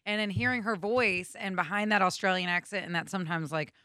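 A podcast co-host who talks things through at length in casual chat, with a bright, fairly tense voice at mid pitch. The close recording is dry. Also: fairly quick, no room sound. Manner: thoughtful, explains at length, adds playful asides.

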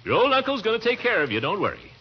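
An actor playing a fussy, affectionate middle-aged uncle in a theatrical, blustery 1940s radio comedy style. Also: male voice, high voice, sing-song, very fast, breathy edge, exaggerated voice. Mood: doting, reassuring. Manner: blustery, theatrical, with swooping exclamations and chuckles. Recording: muffled, noisy vintage radio recording.

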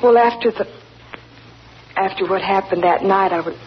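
An actress playing an earnest, remorseful young country woman who speaks softly and stammers. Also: medium pitch, normal speed, lightly acted. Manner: hesitant, stammering, soft-spoken. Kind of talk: 1940s radio acting. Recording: dull 1940s radio broadcast, faint hiss.

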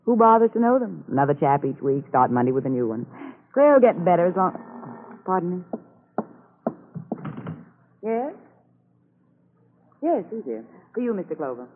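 An actress playing a brisk, protective, worldly lawyer in an old radio drama. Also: female voice, fairly low pitch, big pitch swings. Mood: jaded. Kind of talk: 1950s radio drama acting. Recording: tinny, slightly noisy old radio broadcast.

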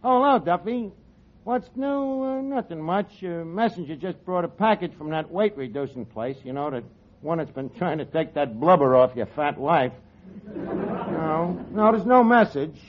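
A man's voice: fairly high pitch, lively intonation, moderate pace. An actor playing a cocky, scheming male tavern manager who talks in street slang and mangled grammar, in 1940s radio sitcom style. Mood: boastful. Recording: 1940s radio broadcast, dull audio, studio audience laughter and hiss. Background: studio audience laughter.

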